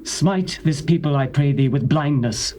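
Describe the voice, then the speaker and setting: An actor playing a stern, commanding prophet who speaks in a solemn, declamatory way. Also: male voice, fairly high pitch, a little slow, a little mellow, natural voice. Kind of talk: dramatized scripture reading. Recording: faint tape hiss.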